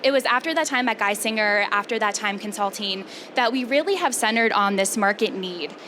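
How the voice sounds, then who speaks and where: A woman, a company vice president, giving a conference pitch in a chatty, conversational way. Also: brisk, sharp tone, slight background noise.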